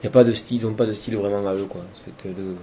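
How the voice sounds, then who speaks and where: Man DJ from Toulouse, very low voice, easygoing and rambling, interview. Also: fairly even intonation, racing, warm tone, slight background noise. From Toulouse, France. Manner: loose and rambling, trails off with fillers. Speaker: electronic music DJ and producer. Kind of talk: casual interview answers.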